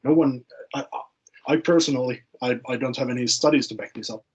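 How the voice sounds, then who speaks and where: A man's voice: medium pitch, brisk, muffled recording, background noise. A man, speaking informally in a webinar discussion and often repeating words as he thinks. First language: Finnish. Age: late 20s.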